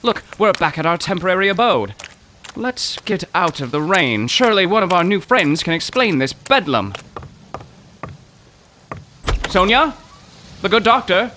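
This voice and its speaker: Man playing a high-pitched, flustered poetry professor. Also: sing-song, normal speed, pushed and bright, a little hiss or hum, exaggerated voice. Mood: distraught, bewildered. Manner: florid, overwrought, stammering. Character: excitable, flustered male poetry professor, wordy and dramatic. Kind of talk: comic mystery audio drama acting.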